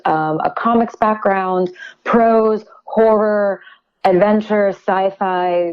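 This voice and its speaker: A woman speaking as an interview guest, enthusiastic and halting as she picks her words in casual promotional talk. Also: fairly low pitch, muffled recording.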